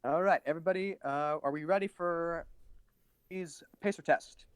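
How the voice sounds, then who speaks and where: A woman's voice: on the low side, moderate pace, smooth, no echo. A woman moderating a casual panel chat and taking requests.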